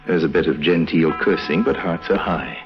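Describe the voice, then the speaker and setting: An actor playing a wry, worldly, self-assured con artist in old radio drama, telling the tale with unhurried irony. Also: male voice, low voice. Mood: hushed, wry. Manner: wry, ironic, unhurried storytelling. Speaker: lead actor and narrator. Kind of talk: 1950s radio drama narration and dialogue. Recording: old radio recording with music behind.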